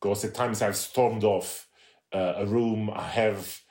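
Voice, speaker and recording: A man's voice: lowish voice, fairly even intonation, takes it slowly, a little mellow. A middle-aged man from Italy speaking in a casual podcast chat, hesitant and candid, often restarting his sentences. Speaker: podcast guest.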